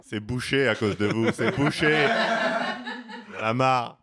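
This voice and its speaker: A man's voice: mid-range voice, fairly even intonation, takes it slowly, a crowd in the background. A man chatting as a podcast guest, making dry, joking remarks. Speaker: guest, co-founder of a street art venue. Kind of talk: casual chat.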